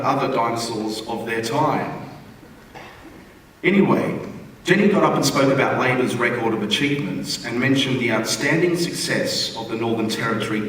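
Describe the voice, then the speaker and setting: A man's voice: mid-range voice, a bit bright. An Australian man reading a written humorous postcard aloud to an audience in a wry, conversational way. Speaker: reader at a theatre forum. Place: large indoor theatre forum venue. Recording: echoey hall, distant mic, room noise.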